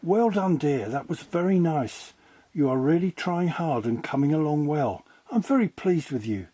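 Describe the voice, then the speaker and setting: A man's voice: on the high side, expressive, moderate pace, dark tone, dry recording, voice pushed up. A man voicing a patient but exacting female ballet teacher in a children's storybook, instructing firmly and encouragingly. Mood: pleased. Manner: instructive, precise, commanding.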